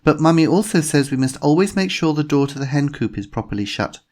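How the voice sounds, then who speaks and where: A man's voice: fairly high pitch, expressive, a little fast, darkish tone, pitched above the performer's own voice, lightly acted. A man voicing a cheeky, eager little girl. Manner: eager, teasing.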